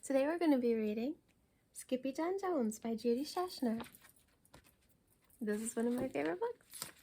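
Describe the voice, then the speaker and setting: A woman reading a children's storybook for a library story time, with expressive, deliberate and dramatic phrasing. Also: sits high, slight background noise.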